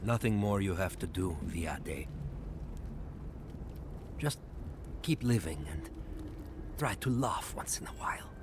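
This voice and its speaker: A solemn, gentle man of cryptic words with a lowish voice. He talks very slowly and haltingly, his pitch rising and falling widely. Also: animated, noisy background. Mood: gentle, resigned. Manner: measured, halting. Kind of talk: video game cutscene acting.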